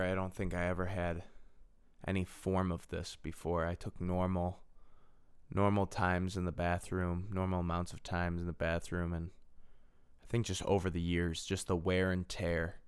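Low-voiced young man rambling on his podcast. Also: clean tone, no echo, studio quality. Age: 20s. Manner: rambling, self-deprecating, repeats and restarts phrases. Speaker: podcast host. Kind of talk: casual solo podcast monologue.

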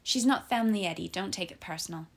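A woman's voice: medium pitch, fast, gravelly, husky. A woman voicing a smug, spiteful young woman who speaks with a proud smirk, read from her own story. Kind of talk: reading own fiction aloud.

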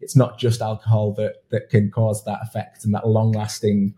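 A man's voice: on the low side, completely flat delivery, normal speed, dark tone. A man hosting an interview, chatty and affirming, often restarting his sentences. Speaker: podcast host. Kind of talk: conversational interview.

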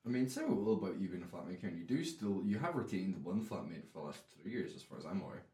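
A man's voice: low-pitched, big pitch swings, fast, dark tone. A young man in his twenties co-hosting a comic chat show, teasing and dry. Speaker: co-host. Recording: echoey room, away from the mic.

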